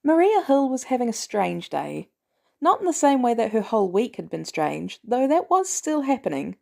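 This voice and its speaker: Woman narrating fan fiction, lively and mellow. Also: a little high, moderate pace, gravelly. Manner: expressive storytelling, animated delivery. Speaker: podfic reader.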